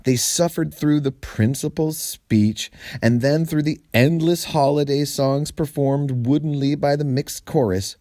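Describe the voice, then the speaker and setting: A man reading a humorous story aloud in an expressive, theatrical way with deliberate phrasing. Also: a little high, no echo, clean studio sound.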